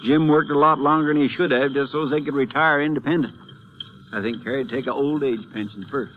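An actor playing a kindly, homespun small-town Texas sheriff, sympathetic and conversational, in a 1950s western radio drama. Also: male voice, medium pitch, fairly even intonation, fairly quick, a bit bright, lightly acted. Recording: noisy old radio broadcast recording.

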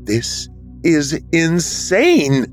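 A man voicing a frightened young woman ghost, shaken and pleading, in a raised, slower voice. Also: on the high side, huge pitch swings, faint music behind, voice pushed up, exaggerated voice. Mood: alarmed.

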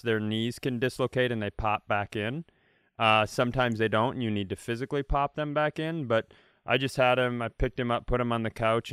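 A man hosting a comedy podcast, telling stories casually with animated exaggeration. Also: mid-range voice, smooth, no room sound, studio quality. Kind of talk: casual comic storytelling.

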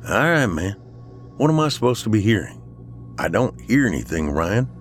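Man voicing a worried, exasperated buddy, swooping pitch. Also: sing-song, moderate pace, soft background music. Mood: concerned. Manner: earnest, colloquial, easily exasperated. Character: worried, loyal male friend, rattled but practical. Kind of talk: audiobook character dialogue, horror story.

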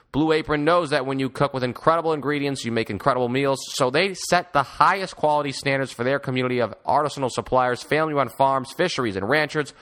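Bright, forceful male sports podcast host. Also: mid-range voice. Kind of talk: sports podcast hosting with sponsor reads.

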